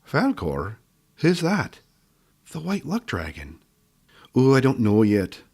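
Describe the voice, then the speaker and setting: A man voicing a cranky old female gnome healer who scolds and bosses in a sharp, snappish way. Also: mid-range voice, wildly swooping pitch, slow, slightly rough, voice pushed up, exaggerated voice. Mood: brusque.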